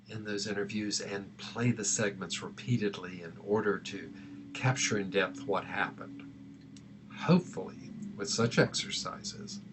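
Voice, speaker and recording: Man, lowish voice, instructor, measured lesson narration, didactic, slight background noise.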